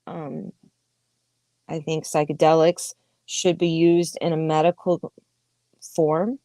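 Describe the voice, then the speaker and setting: A woman's voice: low voice, a little mellow, no echo. A woman in her thirties hosting a podcast, talking candidly and emphatically in a casual livestream monologue, with frequent swearing. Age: 30s. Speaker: podcast host.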